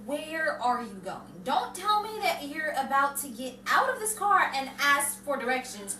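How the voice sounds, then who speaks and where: High-voiced, sardonic actress as a female passenger. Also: sing-song, normal speed, sharp tone, full character voice. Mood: exasperated. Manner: sardonic wisecracking. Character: exasperated, sarcastic woman squashed in a back seat. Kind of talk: old-time radio comedy acting. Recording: roomy, distant, clearly noisy.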